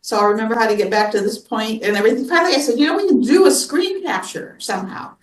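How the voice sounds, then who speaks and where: A woman's voice: on the high side, fast. A woman at a computer club meeting, chatting casually and telling a rambling story. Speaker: club member presenting. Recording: echoey room, far from the mic.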